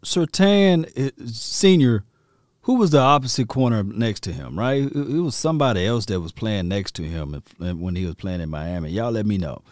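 A man from Mississippi with a Southern accent, hosting a casual sports talk show and reacting with lively, laughing asides to his listeners. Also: fairly low pitch, normal speed, no room sound, studio quality. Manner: animated, laughing, talks straight to listeners. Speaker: podcast host.

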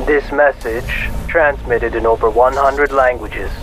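A man playing a self-important corporate tycoon in an audio drama, polished, measured and pompous in his speech. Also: medium pitch, fairly even intonation, noisy background, natural voice. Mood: formal, inviting.

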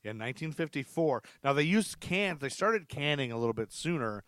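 A man's voice: on the high side, lively intonation, fairly quick, a bit bright, no echo. Casual male podcast host, close dry sound. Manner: chatty, laughs readily, loosely phrased.

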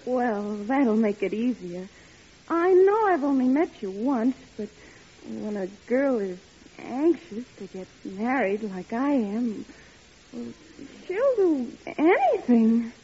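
Eager young woman, high husky voice. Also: big pitch swings, slow, mellow, heavily acted. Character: eager, sweet-talking young single woman set on marrying. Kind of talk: 1940s radio comedy acting. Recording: muffled old radio recording.

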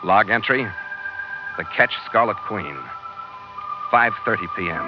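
An actor playing a hearty, wry ship's skipper in an old-time radio sea adventure. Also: male voice, on the low side. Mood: solemn, clipped. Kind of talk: 1940s radio adventure acting. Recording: old muffled radio broadcast with music.

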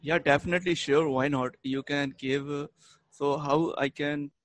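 A man's voice: fairly high pitch, steady tone, normal speed, dry recording. A man whose first language is Hindi, joining a video call and speaking English eagerly but hesitantly, with frequent fillers. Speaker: caller joining the meeting. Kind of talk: casual video-call chat.

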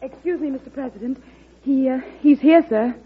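High-voiced, polite secretary played by a woman. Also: normal speed, lightly acted. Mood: polite, efficient. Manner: polite, deferential. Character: polite, dutiful female presidential secretary. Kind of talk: 1940s radio drama acting. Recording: noisy, narrow-band old radio recording.

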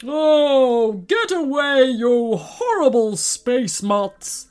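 A woman's voice: high-pitched, lively intonation, drawn-out words, darkish tone, full character voice. A woman voicing a giant red male alien villain in a children's story, blustering, weepy and melodramatic, with music behind. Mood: bellowing, outraged. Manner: wailing, bellowing, melodramatic.

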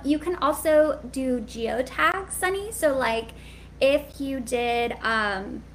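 Sweet high-voiced woman coaching frankly. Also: a bit bright, a little hiss or hum, poor audio. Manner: candid, chatty, frequent "like" fillers. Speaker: business coach for hairstylists. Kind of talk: live-streamed coaching talk.

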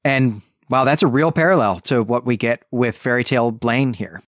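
A man of average pitch talks through fiction as a podcast co-host. His delivery is casual and emphatic, with little tags seeking agreement. He is recorded dry, without audible room. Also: moderate pace, no echo. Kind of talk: casual literary discussion.

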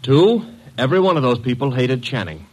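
An actor playing a cheeky, loyal detective's assistant in a classic radio drama, breezy and wry with an American accent. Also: male voice, medium pitch, big pitch swings, a little fast, a little mellow, slightly rough. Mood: brisk, keen.

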